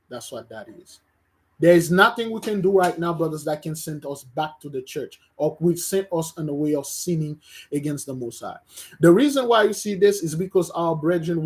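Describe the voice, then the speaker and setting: A man's voice: on the high side, darkish tone. A man from Haiti, preaching informally online to his brethren, emphatic and repeating himself, often stumbling and restarting. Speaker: religious teacher.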